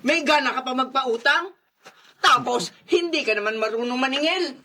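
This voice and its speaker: A woman playing a scornful, nagging wife and mother in a radio drama, sharp-tongued. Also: high voice, moderate pace. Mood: scornful anger.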